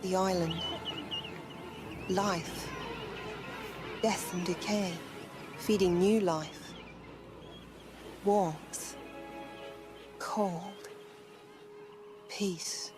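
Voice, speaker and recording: Entranced young female Jedi pupil, played by an actress, lowish voice, extremely slow searching phrases, audio drama with music behind. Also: steady tone. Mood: entranced wonder. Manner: very slow, sparse, trance-like phrases.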